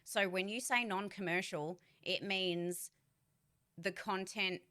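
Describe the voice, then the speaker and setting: A woman's voice: medium pitch, steady tone, slow, bright, forceful tone. A woman voice actor speaking in a candid, rambling vlog. She talks bluntly, swears freely, and uses many fillers and restarts. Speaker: voice actor giving career advice. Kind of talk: casual vlog monologue.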